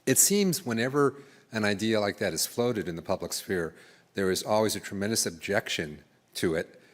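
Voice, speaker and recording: A man's voice: lowish voice, animated, normal speed. A man in the audience at a university lecture, asking an articulate, carefully structured question.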